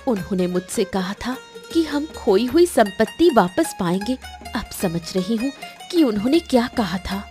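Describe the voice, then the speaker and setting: A mellow-voiced village wife from a cartoon moral story , acted by a woman, emotional and calculating. She speaks with earnest, deliberate drama in a mid-pitched voice, over faint music. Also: sing-song, lightly acted. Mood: earnest, wary.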